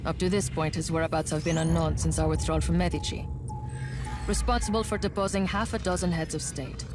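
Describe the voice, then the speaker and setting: An actress playing a stern mercenary army commander in a video game, speaking in a clipped, formal briefing manner. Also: female voice, low-pitched, steady tone, fairly quick, over music, natural voice. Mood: cold, formal.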